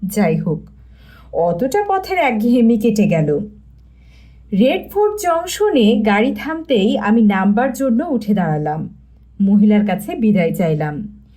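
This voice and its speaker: A woman's voice: on the high side, warm tone. A woman reading a mystery story as scripted audiobook narration.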